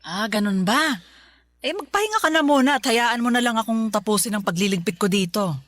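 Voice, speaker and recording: A woman's voice: on the high side, expressive, brisk, a bit bright, dry recording. An actress playing a devoted middle-aged Filipino mother in a radio drama, tender and reassuring in manner. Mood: gently brisk.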